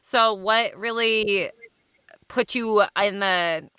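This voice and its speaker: Female podcast host, bright and crisp, casual, playful, teasing. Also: mid-range voice, extremely slow, dry recording, poor audio. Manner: peppers talk with "right?" and "you know".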